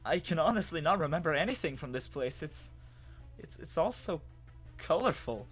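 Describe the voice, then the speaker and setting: A man's voice: a little high, sing-song, moderate pace, soft background music. A man playing a bewildered human with lost memory, narrating his own story in a dramatic, hesitant, wondering way. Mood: confused, hesitant.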